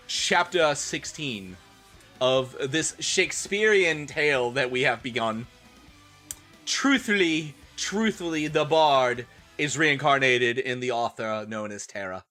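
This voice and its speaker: A man streaming a comedic dramatic reading in a theatrical, expressive manner. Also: medium pitch, slow, soft background music.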